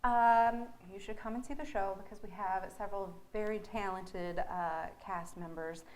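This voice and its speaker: A woman's voice: medium pitch, steady tone, normal speed, darkish tone, low-quality recording. A woman talking casually as a play director being interviewed. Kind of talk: casual chat. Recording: faint background noise.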